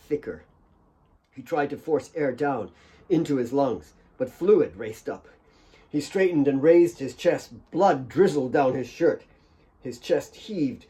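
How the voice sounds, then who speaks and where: A man's voice: medium pitch, mellow. A man reading dramatic scripted fiction as an author narrating his own audiobook.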